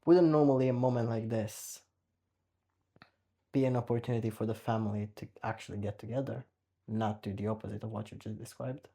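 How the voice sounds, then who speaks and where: A man's voice: on the low side, steady tone, fairly quick, gravelly, dark tone. A man from Romania with a Romanian accent, hosting a podcast interview in a conversational style. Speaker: podcast host.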